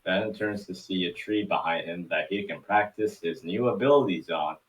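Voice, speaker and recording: A man's voice: low-pitched, moderate pace, far from the mic, rough recording. A man reading scripted narration for a table read, now and then stumbling over his words.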